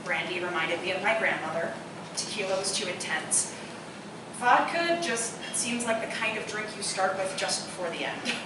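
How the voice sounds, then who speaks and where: A woman's voice: mid-range voice, normal speed, lightly acted. A woman playing a witty, opinionated single woman who loves bourbon, in live radio-play dialogue. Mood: wry, reminiscing. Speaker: stage actor. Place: live theatre performance space. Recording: echoey, noisy live recording from far off.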